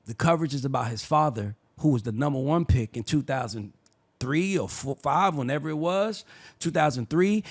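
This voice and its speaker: A man hosting a sports opinion show, arguing his case in a heated, preachy way and sometimes building to shouts. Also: mid-range voice, creaky. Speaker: show host.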